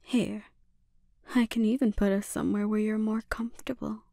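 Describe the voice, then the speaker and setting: A woman playing a menacing, seductive sorceress and obsessive mother in a breathy, often whispered ASMR roleplay, speaking deliberately and with quiet threat. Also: mid-range voice, little pitch movement, no room sound, clean studio sound, lightly acted. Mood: coolly threatening.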